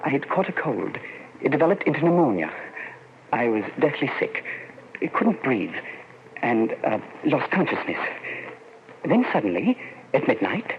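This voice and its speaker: A man's voice: medium pitch, sing-song. Haunted, grave man in old radio drama. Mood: haunted, solemn. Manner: grave, halting, melodramatic. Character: doomed clairvoyant man, earnest, solemn, haunted. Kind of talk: 1940s radio drama acting. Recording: muffled, noisy vintage radio recording.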